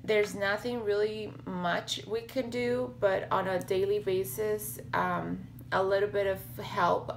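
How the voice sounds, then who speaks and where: A woman in her thirties talking to the camera in a casual home vlog, slightly hoarse and raspy, with many fillers and restarts. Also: fairly low pitch, a little hiss or hum. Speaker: health vlogger. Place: small home office with bookshelves, indoors. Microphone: webcam or laptop camera at desk.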